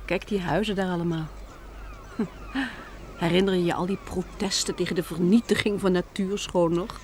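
Een vrouw die in een hoorspel een speelse, zacht vasthoudende echtgenote speelt, op een lichte, plagende toon. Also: female voice, fairly low pitch, fairly even intonation, moderate pace, a little mellow, a little hiss or hum, close to an everyday voice. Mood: reflective. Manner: light, teasing. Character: playful, gently determined wife of a weary survivor. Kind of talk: radio drama acting.

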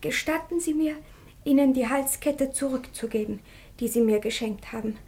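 A woman's voice: high-pitched, normal speed, dark tone. A woman playing a humble, wounded young village girl in a radio drama, pleading earnestly and formally. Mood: hurt, pleading. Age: young adult. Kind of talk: theatrical German radio drama acting.

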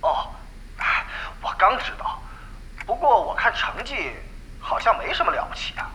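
Man acting a hesitant, high-voiced underling. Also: big pitch swings, moderate pace, bright, forceful tone, slight background noise, exaggerated voice, rough recording. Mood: deferential. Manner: hesitant, stammering. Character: deferential, hesitant male underling reporting to his captain. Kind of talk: audio drama acting. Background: music.

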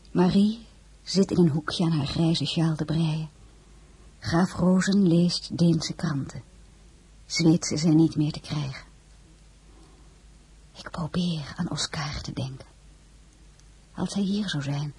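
An actress playing an anxious royal lady in a literary audio drama, with a husky, breathy voice. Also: deep voice, dark tone, full character voice. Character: anxious royal lady reading from her diary.

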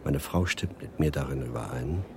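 A man's voice: very deep voice, moderate pace, background noise. An actor playing a dignified older father, reading formally and with composure in a radio play. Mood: solemn. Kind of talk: letter read in a radio play.

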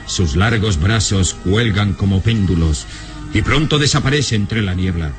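A man's voice: fairly low pitch, animated, measured pace. A man narrating a radio drama in a solemn, ominous, scripted storytelling style. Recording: music under the narration. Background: dramatic music.

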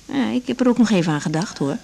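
An actress playing a young woman doctor in a 1980s Dutch radio drama, caring, brisk and direct in a conversational way. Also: medium pitch, expressive, creaky, warm tone, a little hiss or hum.

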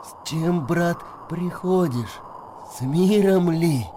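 An actor playing a kindly old monastery gatekeeper in a radio play, slow and folksy, often questioning. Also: male voice, a little high, background noise. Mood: gently probing. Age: older. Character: kindly old monk gatekeeper, gentle and small.

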